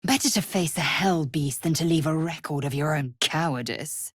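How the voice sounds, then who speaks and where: An actress voicing a fierce, haughty alien warrior woman in a video game, with a husky voice and an imperious, clipped, disdainful manner. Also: sits low, normal speed, no room sound, clean studio sound. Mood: solemn. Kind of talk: video game character voice lines.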